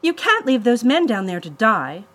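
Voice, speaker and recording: A woman's voice: mid-range voice, lively intonation, normal speed, close to an everyday voice. A brave young girl from a storybook, voiced by a woman. She is earnest and assertive, a protective big sister who speaks politely but firmly. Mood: urgent, commanding. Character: bold, quick-thinking young girl, protective eldest sister.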